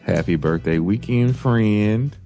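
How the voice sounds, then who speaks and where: A man's voice: lowish voice, slow, loud music behind the voice. A man in his forties co-hosting a podcast, joking and laid-back, stretching out his phrases. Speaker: podcast co-host. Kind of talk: casual chat.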